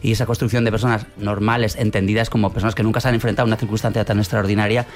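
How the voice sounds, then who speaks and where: Director from Navarre, slightly low voice, rapid and explanatory. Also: male voice, level tone, darkish tone. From Navarre, Spain. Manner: fluent, explanatory, many fillers. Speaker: film director. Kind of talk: radio interview.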